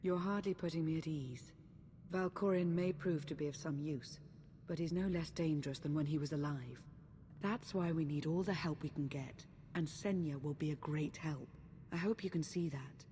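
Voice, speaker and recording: Calm, earnest female ally for a game cutscene woman acting, low register, guarded, faint noise behind. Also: big-room sound, lightly acted. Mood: grave concern. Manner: measured, earnest.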